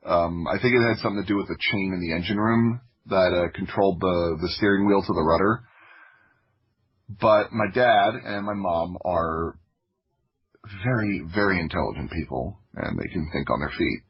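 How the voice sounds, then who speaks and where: A man from Florida telling stories from his own life in a casual, halting way, thinking aloud as he goes. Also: deep voice, breathy edge. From Florida, United States. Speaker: podcast guest, audio drama creator. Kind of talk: casual autobiographical storytelling. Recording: dull, lo-fi audio.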